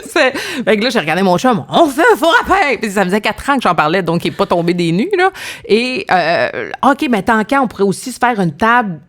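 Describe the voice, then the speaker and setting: A woman in her thirties with a Québécois accent, chatting as a podcast guest and telling animated stories with many restarts. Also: mid-range voice, a little fast. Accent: Québécois French. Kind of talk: casual podcast chat.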